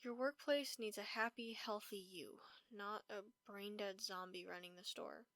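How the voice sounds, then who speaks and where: A woman playing a caring vampire roommate in a comfort audio roleplay, speaking softly, gently and reassuringly. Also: medium pitch, fairly even intonation, measured pace, no echo, close to an everyday voice. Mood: worried, firm. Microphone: close, dry microphone.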